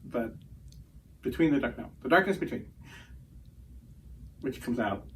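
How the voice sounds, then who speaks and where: A man speaks from a little way off the mic with faint noise behind him. He hosts casually as a publisher, joking and self-deprecating, often halting as he goes. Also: medium pitch, lively intonation, a bit bright, far from the mic, low-quality recording. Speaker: publisher and co-host. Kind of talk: casual, joking presenting of book announcements.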